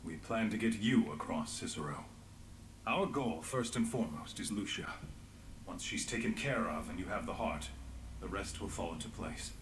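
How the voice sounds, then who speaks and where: An actor playing a grave, world-weary resistance leader in a fantasy game, speaking in measured lines. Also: male voice, low voice, animated, roomy sound, a little hiss or hum, low-quality recording. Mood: resolute. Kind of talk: dramatic fantasy game voice acting.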